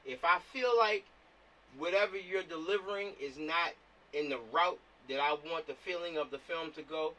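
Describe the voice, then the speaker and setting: A man with a fairly high, very bright voice talks like a director rallying a team. He is casual, encouraging and emphatic. The sound comes from a bit away from the mic on a video call. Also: moderate pace. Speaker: film director. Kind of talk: casual pep talk on a group video call. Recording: distant video-call microphone.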